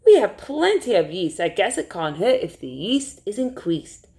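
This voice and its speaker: A woman storytime teacher reading a rhyming picture book aloud to young children in an expressive way. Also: mid-range voice, normal speed. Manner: expressive, hushed suspense, asks listeners questions.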